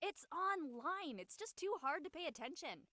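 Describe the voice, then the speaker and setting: A woman acts a young college student in a comedic drama. Her voice is high and bright, recorded close and dry. She comes across upbeat, casual and blunt. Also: lively intonation, normal speed, no echo. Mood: breezy, blunt. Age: young adult.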